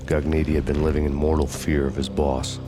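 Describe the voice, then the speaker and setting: Very deep, flat noir tough guy. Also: a little fast. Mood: grim brooding. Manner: deadpan, brooding. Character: grim hard-boiled male fugitive cop narrating his night. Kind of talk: noir scripted narration. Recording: loud music behind.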